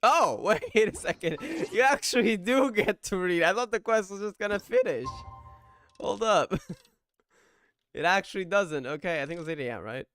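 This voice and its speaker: A man's voice: high voice, animated, normal speed. A man giving casual reaction commentary on a story game, with murmured asides and chuckles. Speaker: gamer reacting to a story playthrough. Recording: faint game music behind. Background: game dialogue and soft music.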